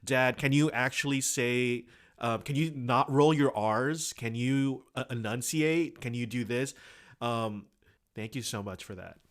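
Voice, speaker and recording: A man from Indonesia hosting a podcast, talking in a halting, heartfelt way. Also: medium pitch. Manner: halting, many fillers and restarts, heartfelt. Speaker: podcast host. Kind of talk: podcast conversation.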